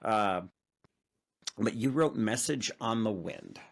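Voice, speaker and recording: Gravelly-voiced male interview host. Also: lowish voice, expressive. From Illinois. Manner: halting, many fillers and restarts. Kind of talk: casual interview chat.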